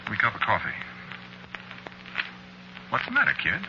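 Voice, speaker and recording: On a dull, noisy old radio recording, a shifty American store clerk confiding a dark tale. His voice sits low and swings widely in pitch. He talks in slangy, folksy, offhand 1940s suspense style, with the cool air of a killer. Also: huge pitch swings, normal speed. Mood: casual, confiding. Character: shifty working-class store clerk, coolly confessing killer. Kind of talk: 1940s radio suspense acting, confiding first-person narration.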